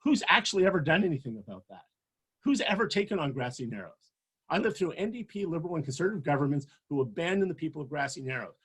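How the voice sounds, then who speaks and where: A man's voice: a little high, sing-song, fast, breathy edge. A man in his sixties speaking passionately and emphatically as a political candidate at a campaign event. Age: 60s. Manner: passionate, emphatic, rising to forceful peaks.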